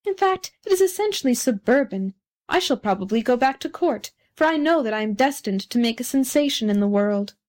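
A conceited storybook firework played by a woman reader holds forth in a fairly high voice. He is pompous and condescending, forever boastful and very pleased with himself. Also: lively intonation, a little fast, darkish tone, lightly acted. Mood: haughty, disdainful. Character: vain, haughty, boastful male firework rocket. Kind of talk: storybook character reading.

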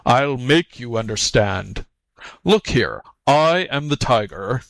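A savage tiger from a storybook, as a male reader acts him out. He is gruff and domineering, scornful of fools and short of patience, with emphatic swings in pitch. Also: expressive, slow pace, no room sound. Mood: impatient, angry. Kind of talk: storybook character voice.

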